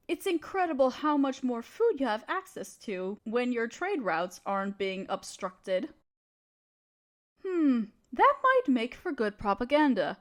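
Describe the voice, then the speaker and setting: A woman playing a haughty, scheming evil empress in a scripted audio roleplay, speaking imperiously and theatrically to a listener. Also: on the high side. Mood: indulgent, calculating.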